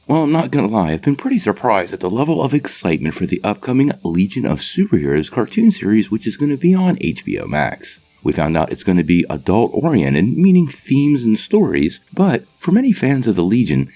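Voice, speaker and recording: A man with a low, gravelly voice delivers a scripted explainer as a channel host. His pitch swings widely as he addresses viewers in a casual, enthusiastic fan style. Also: dark tone. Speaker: host of a comic book channel.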